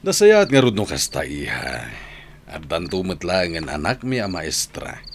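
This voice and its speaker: A man's voice: low-pitched, huge pitch swings, a little slow, slight background noise, heavily acted. A man playing a kindly middle-aged father in a radio drama, with a Filipino accent and a fatherly manner. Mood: fond, probing.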